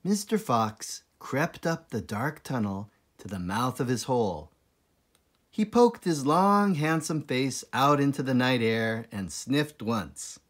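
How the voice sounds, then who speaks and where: Slow, animated man reading a storybook. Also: mid-range voice. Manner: dramatic, deliberate with suspenseful pauses. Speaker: read-aloud narrator. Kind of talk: expressive children's storybook reading.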